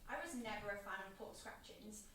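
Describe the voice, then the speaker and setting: Woman acting as needy playful young woman, eager, informal, bright forceful voice, very echoey, naturalistic drama. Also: medium pitch, steady tone, brisk, lightly acted. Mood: teasing, chatty. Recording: very echoey, distant, faint noise.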